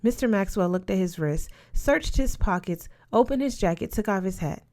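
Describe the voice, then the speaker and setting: A woman's voice: medium pitch, animated, normal speed, a little mellow. A woman narrating a first-person story as an audiobook reader.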